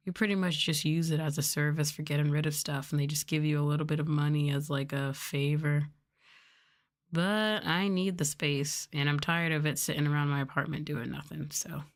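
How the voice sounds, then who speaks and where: Casting: woman, low-set voice, soft-spoken livestreamer doing casual ASMR chat. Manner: softly spoken, now and then trailing into whispers. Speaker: livestreamer, VTuber host.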